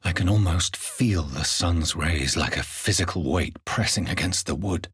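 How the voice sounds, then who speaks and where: A man playing an earnest, stern gentleman doctor of early twentieth-century England, secretly a vampire, in a video game, with formal, measured, intense diction. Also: sits low, husky, no room sound, heavily acted. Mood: brooding, musing. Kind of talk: dramatic video game voice acting.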